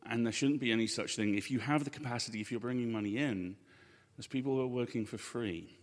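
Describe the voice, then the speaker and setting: A man speaking as a panel guest in a live discussion, making his points in a measured, emphatic way. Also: medium pitch. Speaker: panel guest and podcast co-host. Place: hall at a live convention panel. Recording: echoey hall with long reverb.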